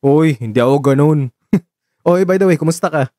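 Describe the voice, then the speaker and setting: A man's voice: a little high, normal speed, warm tone, dry recording, clean studio sound, close to an everyday voice. A man with a Filipino accent acting a teasing, playfully flustered young host on a date in a conversational radio drama. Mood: playful, bashful.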